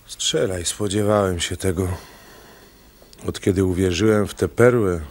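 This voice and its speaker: A man's voice: low-pitched, measured pace, darkish tone. An actor playing a resigned, self-loathing doomed man in a radio drama. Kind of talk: radio play acting.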